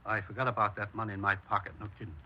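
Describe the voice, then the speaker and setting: An actor playing a nervous, pleading liquor store clerk in a 1950s radio crime drama. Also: male voice, lowish voice, level tone, rapid-fire, lightly acted. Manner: nervous, placating. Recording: noisy, dull old radio recording.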